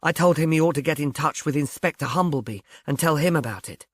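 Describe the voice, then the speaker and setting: A man's voice: fairly high pitch, fast, no echo, clean studio sound, natural voice. A man voicing a composed, practical young woman who speaks politely and directly in a mystery audiobook. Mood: inquiring. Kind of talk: audiobook character voice, British mystery novel.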